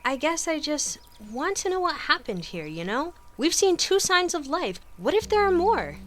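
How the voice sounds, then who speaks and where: A woman's voice: high voice, very animated, normal speed, fairly bright tone. A woman playing an eager, curious scribe on an expedition in a radio drama, full of wonder. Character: curious, excitable young female scribe and explorer. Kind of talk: audio drama acting. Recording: music behind the voice.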